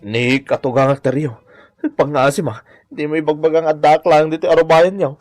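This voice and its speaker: Flustered higher-voiced man on the run acted. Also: fairly even intonation, normal speed, darkish tone, no echo, faint music behind, lightly acted. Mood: fearful, pleading. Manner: flustered, hesitant, pleading. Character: Domeng, evasive son-in-law hiding in fear after misspending money. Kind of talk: Ilocano radio comedy drama acting.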